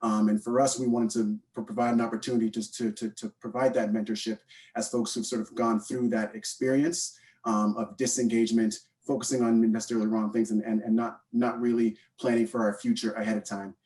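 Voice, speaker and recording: A man's voice: lowish voice, level tone, brisk, a little mellow, distant mic. A man in his thirties with a Canadian accent giving an informal unscripted talk, hesitant and often repeating his words. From born in Guyana, raised in Canada from age eight. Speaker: panel speaker, mentorship program co-founder.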